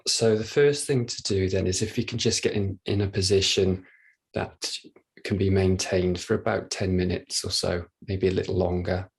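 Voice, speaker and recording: A man leading a guided body scan meditation, speaking gently and without hurry, leaving long pauses. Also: low-pitched, fairly even intonation, creaky, slightly rough. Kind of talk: guided meditation, soft instruction.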